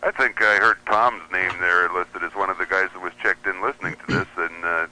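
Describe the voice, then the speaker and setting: A man with a low, deep voice that carries a bright and forceful edge. He talks as a radio show guest, halting and restarting, with many fillers. The sound comes through as broadcast radio audio. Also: fairly even intonation, a little hiss or hum, rough recording. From United States. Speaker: guest radio receiver reviewer. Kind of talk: casual interview chat.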